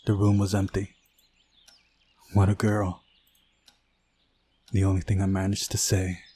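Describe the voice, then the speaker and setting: A man's voice: sits low, completely flat delivery, measured pace, warm tone, slight background noise. A man reading a visual novel aloud as the narrator of a playthrough. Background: music.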